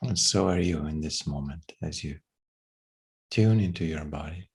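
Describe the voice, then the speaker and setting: A man leading a guided meditation in a gentle, unhurried way, with long pauses between phrases. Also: rumbling low, slightly rough.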